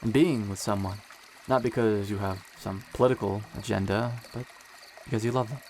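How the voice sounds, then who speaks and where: A tender young emperor played by a man speaks in a slightly low voice, sincere and gently teasing, as in a romantic audio drama. Running creek water makes a noticeable wash of noise behind the words. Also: steady tone, normal speed, close to an everyday voice. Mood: earnest, tender.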